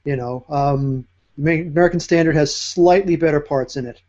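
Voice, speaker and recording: A man's voice: a little high, fairly quick, darkish tone, highs cut off. A man in casual chat about guitars, rambling with many fillers and restarts.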